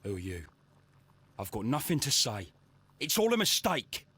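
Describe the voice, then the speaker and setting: An anxious young working-class Londoner of the Victorian era. His mid-pitched voice is husky, with a nervous stammer and an earnest, pleading manner, in the style of video game drama. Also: huge pitch swings, normal speed, bright, forceful tone, exaggerated voice. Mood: wary, startled. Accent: English, London. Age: young adult.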